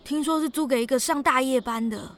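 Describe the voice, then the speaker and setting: A nosy neighbor woman in an audio drama. Her voice sits very high. She fishes for gossip with question after question. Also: brisk, a bit bright. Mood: curious. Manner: inquisitive, gossipy. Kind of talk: radio drama acting.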